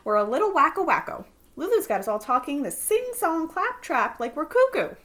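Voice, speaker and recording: A woman acts out a folksy male school principal for a children's storybook. He is jovial and fussy, swinging widely in pitch as he bounces through rhyming slang. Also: very animated, moderate pace, a bit bright. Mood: bustling.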